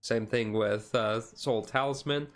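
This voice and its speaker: A man streaming casual, chill card game commentary in a halting, rambling way with frequent asides. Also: medium pitch, steady tone. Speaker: streamer host.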